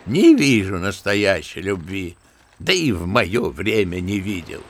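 Gravelly old grandfather, wryly bitter. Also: sits low, big pitch swings, unhurried, heavily acted. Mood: wry, disillusioned. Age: older. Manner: theatrical storytelling, mimicking others, savouring words. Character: embittered, talkative old grandfather, an old-school Russian gentleman. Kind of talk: audio drama acting of a literary story.

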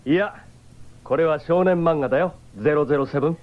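A man playing an earnest, quick young hero. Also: on the high side, lightly acted. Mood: calm, reassuring. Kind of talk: 1970s radio drama acting. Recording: dull 1979 radio broadcast.

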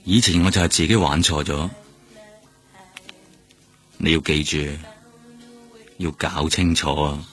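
A seasoned Hong Kong police detective with a very deep voice. He talks in blunt, slangy Cantonese with a wry, world-weary mentor's manner. It is radio drama, with faint music underneath. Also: steady tone, a little slow, long pauses, fairly bright tone, slightly rough, exaggerated voice. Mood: grave, cautioning.

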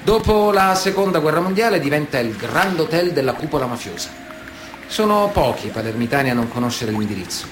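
An actor playing a boastful Sicilian mafioso telling prison tales colloquially in a radio drama monologue. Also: male voice, on the high side, big pitch swings, fairly quick, music in the background. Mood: boastful, nostalgic. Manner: colloquial, storytelling, cocky.

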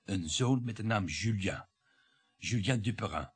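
An actor playing a bitter, sardonic French bourgeois husband in a radio play, declaiming with the odd halting hesitation. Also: male voice, lowish voice, expressive, slightly rough. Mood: brooding, wistful.

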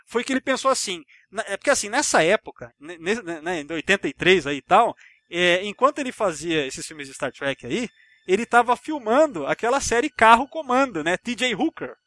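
A man's voice: high-pitched, sing-song, fairly quick, fairly bright tone, no room sound. A Brazilian man hosting a podcast, talking film with friends in a chatty, slangy, rambling way and swearing freely. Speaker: podcast host. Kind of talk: casual film discussion and anecdotes among friends.

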